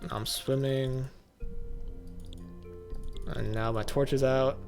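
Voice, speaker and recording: Casual male game commentator, medium pitch, offhand and slightly sardonic, game music underneath. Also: steady tone, slow pace, a little mellow. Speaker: gaming video host.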